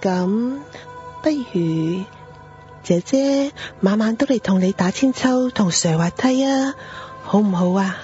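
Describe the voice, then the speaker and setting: A woman's voice: mid-range voice, lively intonation, takes it slowly, warm tone. Woman playing a coaxing ghostly young lady, music behind. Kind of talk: radio horror drama acting. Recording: dull lo-fi audio. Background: prominent background music.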